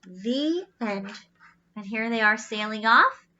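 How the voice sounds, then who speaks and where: A woman's voice: on the high side, lively intonation, slow, bright, forceful tone, lo-fi sound. A woman reading a children's storybook aloud expressively, adding explanatory asides and wondering aloud to young listeners.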